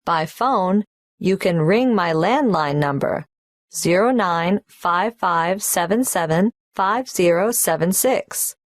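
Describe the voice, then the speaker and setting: A polite young applicant who answers a clerk's questions and carefully spells out names and numbers. She talks slowly, and the recording is dry and close. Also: on the low side, expressive, no room sound. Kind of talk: scripted exam listening dialogue.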